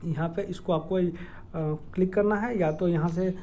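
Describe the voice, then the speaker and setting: A man with a Jharkhand accent giving an informal explainer in a halting, hesitant way, with many filled pauses and repeated words. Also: high-pitched, steady tone, mellow, slight background noise. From Dumka district, Jharkhand, India. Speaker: educational video creator. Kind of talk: informal explainer with screen walkthrough.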